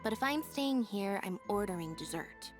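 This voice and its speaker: An actress playing a low-energy young female magician's assistant in a video game, deadpan and unhurried. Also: lightly acted. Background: game music.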